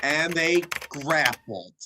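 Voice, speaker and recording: A woman plays an earnest child storyteller who gets exasperated easily. The delivery is slow and animated, in a radio play with clear noise on the recording. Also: sits low, exaggerated voice. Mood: narrating. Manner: narrates haltingly, easily flustered. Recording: noisy recording with clicks.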